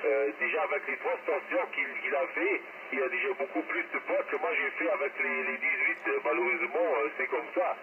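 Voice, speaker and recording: Halting man on a crackly radio link. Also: a little high, wildly swooping pitch. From Luxembourg. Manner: hesitant, halting delivery with searching pauses. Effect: two-way radio transmission. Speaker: amateur radio operator. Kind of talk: casual radio contact chat. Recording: tinny narrowband radio signal, clear static noise.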